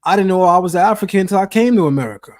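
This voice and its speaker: High-pitched emphatic man. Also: animated, racing. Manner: emphatic, preacher-like call and response. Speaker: host. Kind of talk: casual discussion with a guest.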